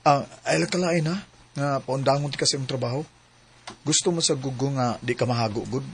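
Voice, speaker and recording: A man's voice: mid-range voice, brisk, slight background noise, close to an everyday voice. An actor with a Cebuano accent playing an earnest young working man in love in a radio drama. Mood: gentle, persuading. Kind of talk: radio drama acting and narration.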